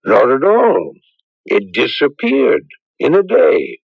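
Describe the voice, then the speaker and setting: A man playing a grave, thoughtful priest in a radio drama, speaking in a measured, probing way. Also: mid-range voice, wildly swooping pitch, creaky, slightly rough, no echo, full character voice. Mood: grave, concerned. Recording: muffled old radio broadcast.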